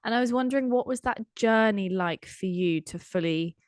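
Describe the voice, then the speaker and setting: Croaky-voiced woman hosting a podcast. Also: mid-range voice, no room sound, studio quality. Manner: earnest, drawn-out questions full of "like". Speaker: podcast host. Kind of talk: conversational podcast interview.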